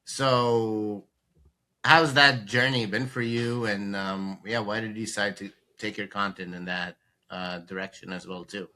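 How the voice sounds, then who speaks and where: A man co-hosting a podcast, asking questions casually in hesitant, halting phrases. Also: on the low side, fairly even intonation, bright, forceful tone. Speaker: podcast co-host, interviewer. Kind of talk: casual conversational interview.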